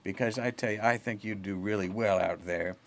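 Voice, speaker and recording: A man's voice: on the low side, brisk, creaky. A man hosting a chatty, comic podcast interview in a folksy, rambling way, often repeating words as he feels for the next one. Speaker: podcast host.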